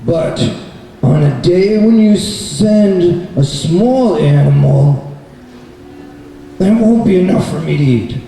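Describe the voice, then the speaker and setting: A mean, self-satisfied lion king, played by a man for a puppet show, hungry and bossy. The voice is very dark and unhurried, heard from a distance in a heavily reverberant room. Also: fairly high pitch, lively intonation, gravelly, heavily acted. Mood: smug, bossy. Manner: smug, self-satisfied, drawn-out delivery. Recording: very echoey noisy hall, distant mic.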